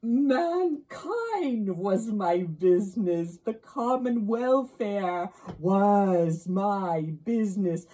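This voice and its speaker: A woman's voice: mid-range voice, lively intonation, mellow, pitched above the performer's own voice. A woman playing a mournful male ghost in a storybook reading, solemn and declamatory, drawing out grave warnings. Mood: anguished, loud. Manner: slow, drawn-out, declamatory delivery.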